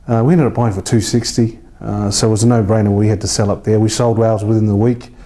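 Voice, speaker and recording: Very mellow New man, chatting informally. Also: lowish voice, level tone, fast. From New Zealand. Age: 30s. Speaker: real estate agent being interviewed. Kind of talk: casual interview chat.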